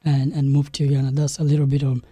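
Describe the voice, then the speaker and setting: Young man from South Sudan, halting. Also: medium pitch, little pitch movement, mellow, husky, dry recording. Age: young adult. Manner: halting, many restarts and "you know". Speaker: guest, game developer. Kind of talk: interview storytelling.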